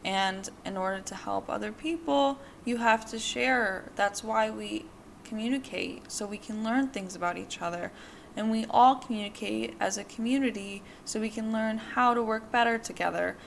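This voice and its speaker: A woman's voice: a little high, moderate pace, creaky, slight background noise, low-quality recording. A woman in her twenties hosting a podcast, telling her own story in a candid, rambling way with many fillers. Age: 20s. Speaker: podcast host. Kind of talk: personal monologue.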